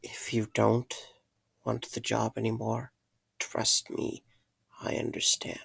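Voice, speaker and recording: Man acting an earnest, gravelly man with secrets. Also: on the low side, monotone, fairly bright tone, exaggerated voice. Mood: urgent, earnest. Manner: halting, serious delivery. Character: earnest, guilt-ridden man confessing dark secrets to a friend. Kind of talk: audio drama acting.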